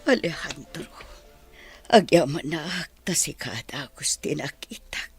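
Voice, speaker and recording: Woman acting emotional family matriarch, middle pitch, highly animated intonation, village radio drama. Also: a little slow, faint music behind. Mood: earnest, subdued.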